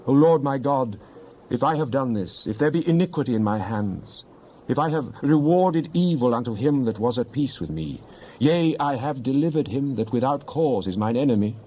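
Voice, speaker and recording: Male actor as devout psalmist, mid-pitched, solemn, declamatory, impassioned scripture drama, slightly muffled recording. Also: expressive, normal speed, warm tone, a little hiss or hum, natural voice. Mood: anguished pleading. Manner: solemn, declamatory, archaic diction. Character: fervent psalmist pleading with and praising God. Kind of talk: dramatized King James Bible reading.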